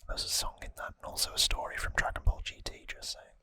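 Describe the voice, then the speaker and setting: A person whispering softly and breathily as an ASMR host, giving an unhurried, rambling recap with gently wry asides, close to the microphone. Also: no room sound.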